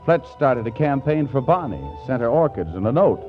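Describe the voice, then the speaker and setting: A man's voice: medium pitch, big pitch swings, moderate pace, faint music behind, lightly acted. Old dull radio recording, man acting a earnest young guy, wry, exasperated, swooping intonation. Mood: weary. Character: earnest, put-upon young male writer telling his troubles. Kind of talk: 1940s radio comedy acting.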